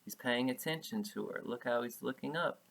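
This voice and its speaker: A man's voice: medium pitch, fairly quick, gravelly, fairly bright tone, low-quality recording. A man reading a picture book aloud as a preschool teacher, explaining things simply and repeating key words for young children.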